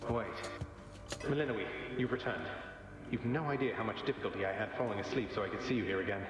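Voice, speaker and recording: An actor playing a wry, easygoing young underworld prince in a video game, speaking in a conversational, slightly refined way. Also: male voice, fairly low pitch, fast, noisy background, close to an everyday voice. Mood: wry, hopeful. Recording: heavy dreamlike reverb, game music.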